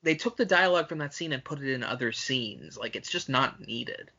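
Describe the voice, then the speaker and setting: A man speaks with a bright, forceful edge at an average pitch, arguing bluntly and swearing freely as he co-hosts a casual film podcast. The recording is slightly dull and lo-fi. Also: lively intonation, brisk, creaky, breathy edge. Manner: blunt, profane, opinionated. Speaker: podcast co-host.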